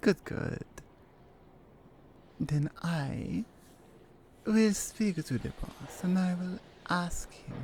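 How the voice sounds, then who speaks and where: A menacing, seductive Russian mafia woman, acted by a woman for an ASMR roleplay. She talks very slowly in a mellow, breathy murmur with a Russian accent, teasing and in command. There is faint noise behind her. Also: low-pitched, heavily acted. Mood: smug, seductive. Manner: slow, deliberate, dominant and teasing.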